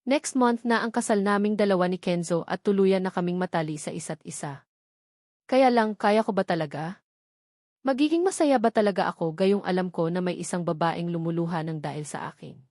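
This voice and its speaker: A woman's voice: lowish voice, brisk, darkish tone, dry recording, clean studio sound. A woman reading a first-person romance novel as a scripted audiobook narration.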